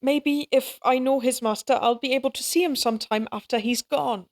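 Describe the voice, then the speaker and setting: A woman's voice: high-pitched, fairly even intonation, moderate pace. A woman acting in an audio roleplay as an anxious, tender young mother who speaks hesitantly and wistfully.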